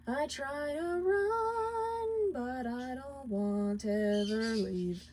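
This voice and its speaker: A woman voicing a heartbroken male imp in a story, singing mournfully in long, drawn-out phrases. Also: high voice, expressive, a little mellow, soft background music, pitched above the performer's own voice, full character voice.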